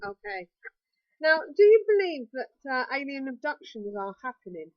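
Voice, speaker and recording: A woman's voice: sits high, sing-song, moderate pace, no echo, dull audio. A woman co-hosting a radio interview, asking brief and direct questions. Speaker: radio co-host.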